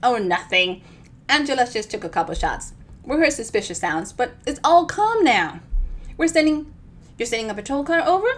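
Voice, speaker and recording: A woman's voice: fairly high pitch, big pitch swings, normal speed, pitched above the performer's own voice. A woman voicing a cheery, spry elderly lady from a novel, sing-song and sweetly bossy. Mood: chipper, on phone.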